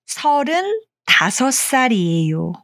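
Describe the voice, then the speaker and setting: Woman, native Korean speaker, Korean accent, language teacher, halting German, conversational, close dry sound. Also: sits high, very animated, fairly bright tone, dry recording.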